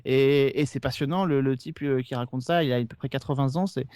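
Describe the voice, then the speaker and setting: A man's voice: mid-range voice, a little fast, a little mellow, smooth, no echo. A man talking casually as a podcast guest, eagerly explaining and recommending shows.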